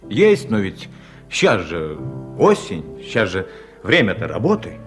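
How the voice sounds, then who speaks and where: A man's voice: deep voice, wildly swooping pitch, slow pace, soft background music, full character voice. An actor playing an ailing, lonely middle-aged village man in a 1970s radio drama, speaking humbly and hesitantly, as if confiding.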